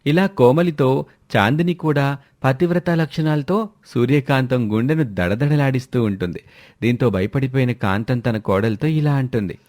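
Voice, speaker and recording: A man's voice: mid-range voice, moderate pace, darkish tone. A man narrating a scripted moral story as a storyteller.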